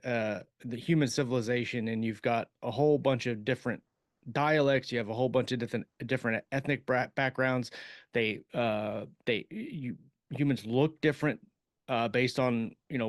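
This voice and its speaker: A man who hosts a podcast and writes science fiction, talking casually and haltingly, often searching for words as he thinks aloud. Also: mid-range voice, dry recording, clean studio sound. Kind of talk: casual discussion of writing craft.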